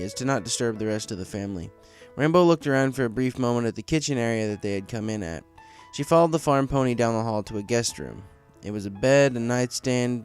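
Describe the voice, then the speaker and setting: A man reading a story aloud as a scripted narrator, in a storybook style. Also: medium pitch. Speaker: fan fiction story reader.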